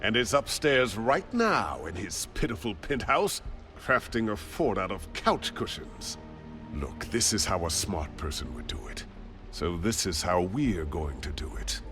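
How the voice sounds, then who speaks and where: Arrogant british game villain, sneery, sharp voice, pitch jumps around a lot. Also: male voice, sing-song, measured pace, soft background music, exaggerated voice. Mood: sneering. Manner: sneering, condescending. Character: arrogant, sneering, impatient male rich bully. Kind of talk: video game voice acting.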